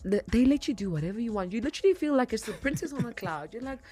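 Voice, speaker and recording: A woman's voice: fairly high pitch, racing, husky, soft background music. Gushing woman on a podcast. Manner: animated, gushing storytelling. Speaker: podcast guest. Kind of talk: casual podcast chat.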